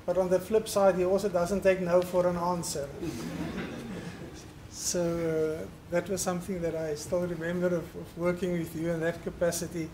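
A man in his fifties introducing a speaker in a large lecture hall, speaking warmly into a podium microphone and often hesitating with uh and um. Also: high voice, flat delivery, noisy background. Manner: warm, halting with uh and um. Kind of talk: formal ceremonial introduction.

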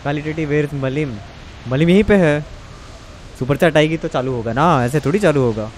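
A man's voice: fairly high pitch, normal speed, slight background noise. A man with an Indian accent chatting casually and commentating as he streams a game live.

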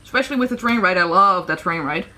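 A woman's voice: on the low side, expressive, fast talker, pushed and bright, slight background noise. A woman from the Netherlands chatting casually through a toy review, hesitant with many fillers and restarts. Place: indoor room at a tabletop set.

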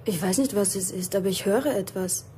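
An actress playing an uneasy child listening in the night in a radio drama. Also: female voice, mid-range voice, brisk, dark tone, slight background noise, natural voice. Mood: fearful.

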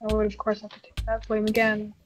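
A woman's voice: medium pitch, completely flat delivery, quick pace, faint music behind, close to an everyday voice. A woman voicing a weary, stubborn female puppet in improvised game roleplay, speaking in a dry, terse, unimpressed way. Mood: reluctant, stern.

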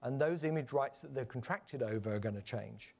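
A man giving a measured, explanatory conference presentation, with frequent fillers and restarts. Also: mid-range voice, gravelly, darkish tone, slightly rough. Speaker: conference panel speaker. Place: conference stage.

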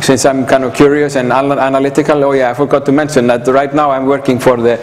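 A middle-aged man from Iceland with an Icelandic accent, giving an informal presentation in a hesitant, halting way full of pauses and filler sounds. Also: mid-range voice, flat delivery. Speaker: conference speaker. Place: indoor hall, standing by a projector screen. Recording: echoey hall from afar, background hiss.

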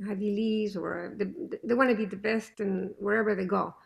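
Woman w thick foreign accent, earnest, halting, bit of gravel in her voice. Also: medium pitch, steady tone. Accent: non-native English accent. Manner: earnest, halting, restarts often. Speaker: homeschooling parent guest. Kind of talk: candid conversational interview.

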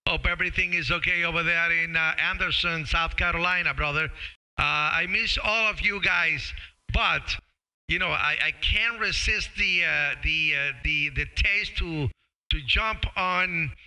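Bright, clear middle-aged man. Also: on the high side, rough recording. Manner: friendly, halting, calls listeners brother. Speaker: amateur radio operator and live streamer. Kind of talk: casual ham radio contact chat on a live stream. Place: small home radio room. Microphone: boom-arm studio microphone with headset.

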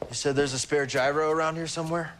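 A man's voice: on the high side, fairly even intonation, quick pace, background noise, natural voice. A man playing a weary, stubborn young rebel fighter in a video game, understated and guarded. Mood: stubborn, earnest. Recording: music behind the voice.

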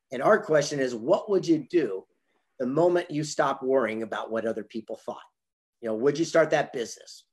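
A husky man in his fifties speaks as a motivational guest on an online interview. His voice sits a bit high for a man, and he tells anecdotes with emphasis, playing out both sides of the dialogue. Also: darkish tone. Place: indoor home office with memorabilia wall. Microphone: video call, computer mic.

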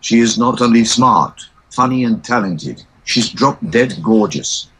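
A man's voice: mid-range voice, measured pace, breathy edge, low-quality recording. Synthetic British male computer voice. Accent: British English (synthetic voice). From South Africa. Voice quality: monotone synthetic speech that cannot convey emotion. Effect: text-to-speech voice. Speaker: interview guest. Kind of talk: computer-voiced interview answers.

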